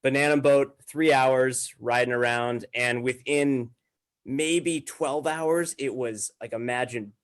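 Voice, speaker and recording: A man's voice: mid-range voice, steady tone, slow, smooth. Man casually swapping self-deprecating stories. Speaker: podcast guest. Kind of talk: casual conversational storytelling.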